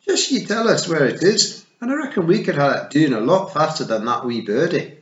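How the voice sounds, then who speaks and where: A man voicing a simple-minded, eager male pixie with a Scottish accent, loud and cheerful. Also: fairly high pitch, very animated, a little fast, voice pushed up. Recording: echoey, slightly dull.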